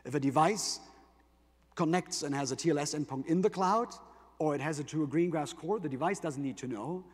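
A man's voice: fairly high pitch, brisk, slightly rough. A man with a German accent giving an explanatory keynote tech presentation, emphasising points with rhetorical questions. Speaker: conference presenter for a tech company. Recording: reverberant large hall.

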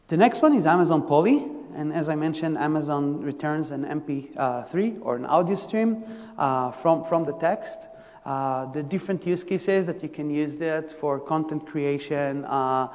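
A man from Israel with an Israeli accent, giving a conference tech talk in a halting way, full of fillers and restarts. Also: mid-range voice, darkish tone. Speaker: technical presenter. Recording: long reverb of a lecture hall.

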